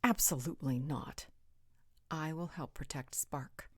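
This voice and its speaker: A woman's voice: low-pitched, unhurried, reverberant, in a large hall. A woman playing a werewolf's loyal, wry and protective mate in a roleplay audio drama. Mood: urgent, firm.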